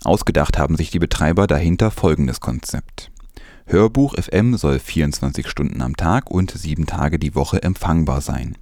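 A man's voice: rumbling low, moderate pace, dark tone, no room sound, clean studio sound. A man reading scripted news as a presenter.

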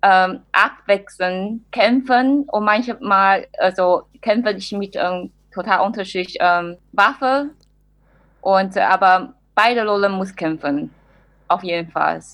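A woman performer in an informal interview, speaking haltingly and searching for her words. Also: mid-range voice, fairly bright tone, dry recording. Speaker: musical performer.

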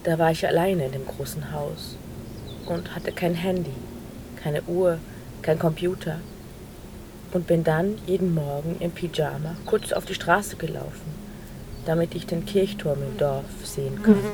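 A woman's voice: low-pitched, a little slow. A woman narrating a scripted literary audio play, telling it unhurriedly in short phrases. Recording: noisy recording with clear background noise.

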